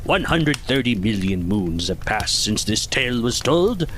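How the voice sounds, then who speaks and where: Man doing a slow, solemn epic narrator. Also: mid-range voice, sing-song, a bit bright, a little hiss or hum. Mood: grave. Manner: portentous, solemn. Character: solemn, grandiose male narrator of an epic saga. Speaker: podcast host and narrator. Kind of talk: overly dramatic scripted narration. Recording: dramatic music behind the voice.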